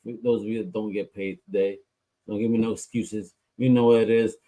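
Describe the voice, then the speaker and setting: A man hosting a podcast in a hype, energetic, slangy way. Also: on the low side, brisk, poor audio. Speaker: podcast host. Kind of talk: casual chat.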